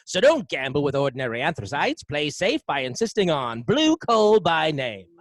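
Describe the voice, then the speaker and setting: A man plays a sponsor's announcer from old-time radio. He sells formally and earnestly in a somewhat high voice, with a dry, close sound. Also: huge pitch swings, moderate pace, dry recording. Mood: earnest, selling. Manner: formal, emphatic sales pitch.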